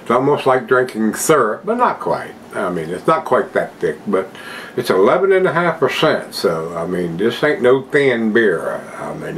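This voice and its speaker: A man's voice: medium pitch, slight background noise. An older man at home casually reviewing a beer, chatting to his viewers in a folksy way. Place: home room with a desk and plants, indoors.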